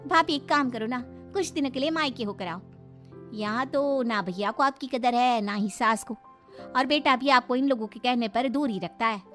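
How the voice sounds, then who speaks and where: Woman acting a high-pitched, chatty young neighbour. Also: moderate pace, a bit bright, faint music behind, natural voice. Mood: advising. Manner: chatty, frank, encouraging. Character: kind, outspoken young neighbour woman, supportive.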